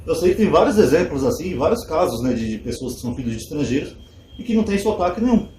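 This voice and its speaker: A fast-talking man, speaking casually to his audience with a mellow, somewhat high voice. He fills his explanations with rhetorical questions. The room echoes, with a faint hum behind him. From Brazil. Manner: conversational, animated asides with rhetorical questions. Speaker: channel host. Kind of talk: casual commentary to viewers.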